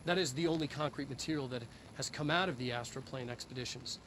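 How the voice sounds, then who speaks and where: An actor playing an eccentric middle-aged male head scientist in a video game, lecturing deliberately and haltingly in an instructional video. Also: medium pitch, fairly bright tone, slight background noise, natural voice, rough recording. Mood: earnest lecturing. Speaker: head of research. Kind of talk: in-world instructional video presentation.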